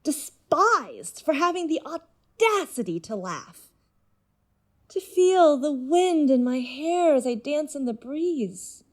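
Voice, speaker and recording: A woman playing an intense, seductive nonhuman being in human guise in an audio drama, speaking in a deliberate, persuasive way. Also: high voice, big pitch swings, heavily acted. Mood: yearning, aggrieved. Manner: deliberate, measured, persuasive monologue.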